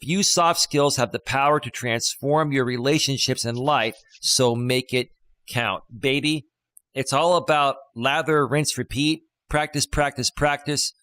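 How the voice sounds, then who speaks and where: Deep-voiced guy coaching on a live stream, reads stuff out and jokes around, super dry close mic. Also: unhurried, fairly bright tone, no echo. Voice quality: deep bass voice. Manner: playful, reads passages aloud and riffs on them. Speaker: life coach and host. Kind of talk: casual live-stream chat reading a text. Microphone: studio dynamic microphone.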